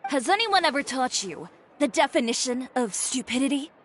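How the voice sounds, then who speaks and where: An actress playing a composed, proud dressmaker who talks calmly with dry, cutting sarcasm. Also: female voice, sits high, moderate pace, slight background noise.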